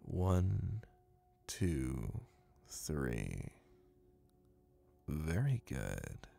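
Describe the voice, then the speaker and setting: Deep, gravelly, slow young male hypnotist played by a man. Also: full character voice. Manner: soft, commanding, soothing, deliberate. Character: confident, dominant, soothing male college classmate turned hypnotist. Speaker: voice actor. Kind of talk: ASMR roleplay hypnosis.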